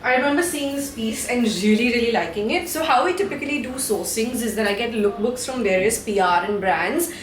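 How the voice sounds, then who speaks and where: A woman's voice: a little high, brisk, few pauses, echoey room, a crowd in the background, poor audio. A woman in her thirties with an Indian English accent, chatting candidly to camera in a home vlog, swearing now and then and mixing in Hindi. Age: 30s. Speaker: vlogger. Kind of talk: casual chat to camera. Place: room at home, indoors.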